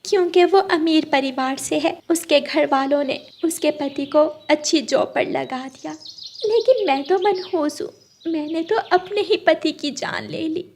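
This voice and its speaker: Cartoon: kind, hardworking young sparrow, gentle and sorrowful, high voice, voiced by a woman. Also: a little slow, a little hiss or hum.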